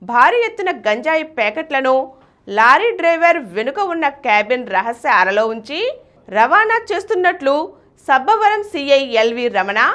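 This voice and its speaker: A woman's voice: sits high, fairly bright tone. A woman reading scripted regional news. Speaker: news reader.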